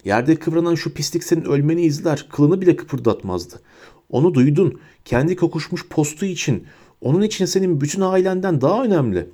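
A man voicing a bitter, furious adult wizard who shouts and snarls his accusations. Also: a little high, animated, fairly quick, voice pushed up. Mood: snarling.

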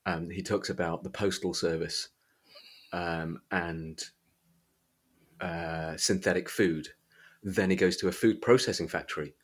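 Man, deep low voice, podcast host, casual book chat, halting delivery. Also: fairly even intonation, breathy edge.

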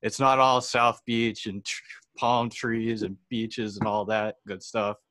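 A man's voice: on the low side, little pitch movement, slow pace, fairly bright tone. A young man hosting a casual interview, talking informally with easy slang. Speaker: interviewer, host.

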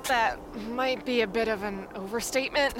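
A woman's voice: on the high side, normal speed, background noise, close to an everyday voice. Woman as a blunt, sarcastic fighter. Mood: wry, sarcastic. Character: tough, sarcastic female fighter, blunt and hot-tempered. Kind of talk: radio drama acting.

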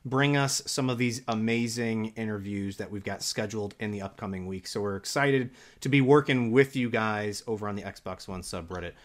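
A man's voice: on the low side, moderate pace, hardly any pauses. A man hosting a casual gaming podcast, upbeat and enthusiastic, a dad in his thirties. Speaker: podcast host.

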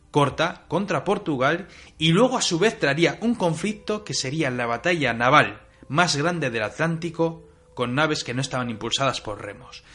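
A man co-hosting a history show, telling stories in a lively way with stammered restarts. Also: fairly high pitch. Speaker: history co-host. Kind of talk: casual history chat.

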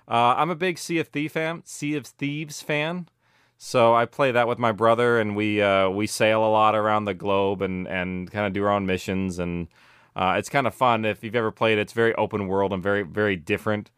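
A man speaks in a low, crisp voice with a very dry sound. He chats casually as a guest, rambling through his answers and often restarting or correcting his words. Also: moderate pace, no room sound. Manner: animated rambling, self-correcting, peppered with "like" and "you know". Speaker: interview guest, audio drama producer. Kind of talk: casual interview chat.

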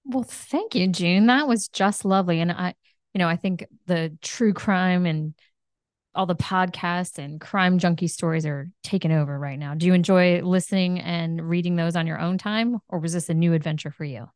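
A woman's voice: fairly low pitch, normal speed, clean tone, no room sound, clean studio sound. A woman co-hosting a school podcast, chatting and interviewing in an encouraging way. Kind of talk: conversational interview.

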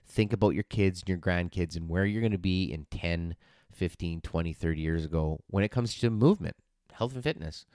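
A man in his forties with a very low, smooth, resonant voice, talking as a fitness coach on his own podcast. He is earnest and encouraging, with plenty of fillers and restarts. The recording is dry and close. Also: fairly quick, mellow, no room sound. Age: 40s. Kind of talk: casual solo podcast talk.

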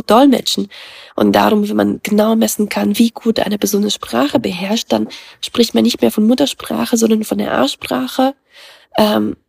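A middle-aged woman whose first language is Russian talks in a gravelly, husky voice. Her pitch stays flat and level, and she pauses often to think. The sound is dry and close, with almost no room. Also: dry recording. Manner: thoughtful, many hesitations and fillers. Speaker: guest. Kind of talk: casual conversation.

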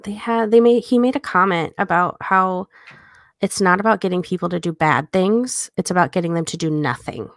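A woman's voice: fairly low pitch, normal speed, dry recording, clean studio sound. A woman co-hosting a podcast, talking through a book in a casual, reflective, conversational way. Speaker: podcast co-host.

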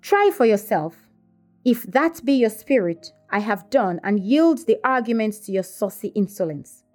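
Lady reader doing a bossy proud young gentlewoman from an old novel, scornful, really emphatic. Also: medium pitch, very animated, a little slow, soft background music. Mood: cold, taunting. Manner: emphatic, imperious.

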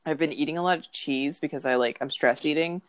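Congested low-voiced woman. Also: brisk. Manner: joking, wry asides. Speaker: podcast co-host. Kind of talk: casual chat.

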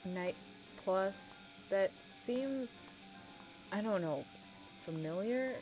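A woman's voice: medium pitch, expressive, very slow, darkish tone, rough recording. A woman playing a chatty sports radio announcer who thinks aloud in a conversational, scripted show with hesitant asides. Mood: puzzled, uneasy. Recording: music behind the voice.

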